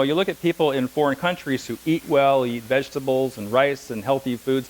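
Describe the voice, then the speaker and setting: A man's voice: medium pitch, poor audio. A middle-aged man teaching a massage class, lecturing conversationally with anecdotes and asides in a classroom, speaking without a microphone. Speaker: massage instructor.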